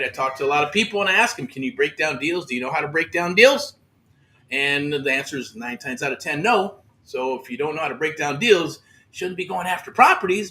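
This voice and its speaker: A man's voice: a little high, sharp tone. A man hosting a motivational livestream about real estate, upbeat and repetitive, full of pep-talk slang, talking outdoors. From Southern California. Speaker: real estate mentor host. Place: outdoors in the heat.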